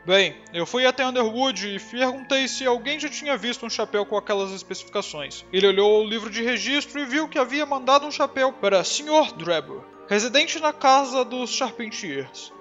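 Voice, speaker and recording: Pompous, excitable detective for an audiobook, played by a man; high voice, self-satisfied delivery. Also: normal speed, hardly any pauses, highs cut off, soft background music. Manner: pompous, emphatic.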